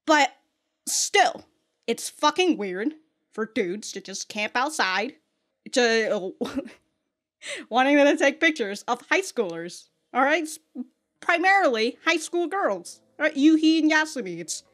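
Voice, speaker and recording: A woman's voice: high-pitched, big pitch swings, unhurried, fairly bright tone. An American woman hosting a reaction video with casual, unscripted commentary in an informal, sweary, emphatic way. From United States.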